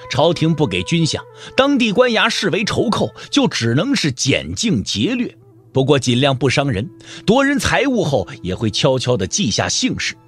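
Male narrator, fairly high voice, very wide intonation, dramatic scripted storytelling, soft music bed. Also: breathy edge.

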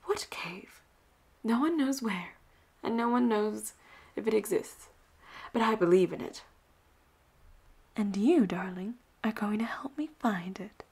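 A woman voicing a flirtatious, teasing, commanding pirate captain in an intimate audio roleplay, often dropping into breathy whispers. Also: medium pitch, lively intonation, unhurried, pauses often, darkish tone. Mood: coaxing.

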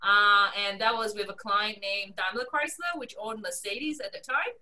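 Woman in her 40s from Singapore, bright voice, lively storyteller, video call, a bit off-mic. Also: moderate pace, recorded from a distance. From Malaysia, raised in Singapore. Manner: animated storyteller, laughs often, lively pitch swings. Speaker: guest, strategy consultant. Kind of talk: casual video-call interview.

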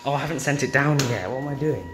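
Young man over loud background noise. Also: medium pitch, expressive, fast. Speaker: amateur lift vlogger. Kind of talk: casual running commentary to camera. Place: lifts and lobby inside a public building. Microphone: handheld phone. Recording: handheld phone, loud background noise, clicks.

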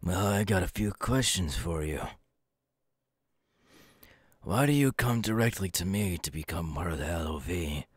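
Casting: male actor as a young villain recruiter for ASMR roleplay, low voice, dry close sound, deliberate and coolly menacing. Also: animated, breathy edge, no room sound, exaggerated voice. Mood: probing, cool. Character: young adult male supervillain recruiter, cool, menacing, sly.

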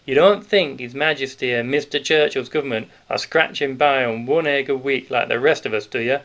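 A man's voice: medium pitch, brisk, fairly bright tone, lightly acted. A man voicing a stout, sly, cheerful male wartime grocer with a Yorkshire accent, in a knowing, conspiratorial manner. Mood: jovial.

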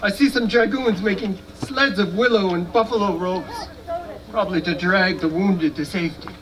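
A man's voice: sits high, moderate pace, natural voice. A man playing a proud, folksy, scornful American soldier in a live historical battle dramatization. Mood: somber. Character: proud, folksy American soldier boasting about his army. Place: outdoor stone amphitheater, far camera. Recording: distant outdoor recording with noise.

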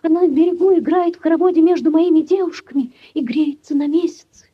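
Sad drowned girl ghost, very high plaintive voice, old dull radio play recording. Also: fast talker, no echo. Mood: anguished, pleading. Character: sorrowful drowned maiden, a young water spirit. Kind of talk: 1940s Soviet radio acting. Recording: dull 1940s radio recording, faint music.

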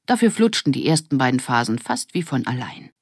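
A woman's voice: a bass voice, very animated, a little fast, slightly rough, dry recording, clean studio sound. A woman reading a scripted children's story as an audiobook narrator.

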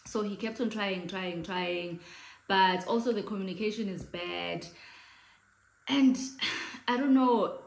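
A woman's voice: lowish voice, vocal fry. South African woman with a big voice, late 30s, chatty vlogger talking to camera, room echo. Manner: chatty, animated hand gestures, mixes in Xhosa phrases. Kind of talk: casual chat to camera. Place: living room, indoors, in front of a sofa.

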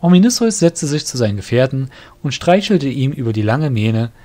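A man's voice: medium pitch, big pitch swings, moderate pace. A man reading scripted narration for a fantasy audio drama. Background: soft music.

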